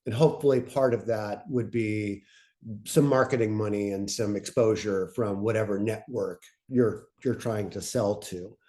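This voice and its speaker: A man speaking informally as a panelist, thoughtful and halting, often breaking off to rephrase his point. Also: fairly low pitch, warm tone. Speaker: panelist presenting on podcast pitching.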